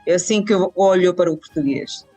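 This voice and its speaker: A woman's voice: on the low side, fairly quick, soft background music. Earnest Venezuelan woman guest. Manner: warm, earnest, with repeated restarts. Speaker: university department head. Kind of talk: interview answers.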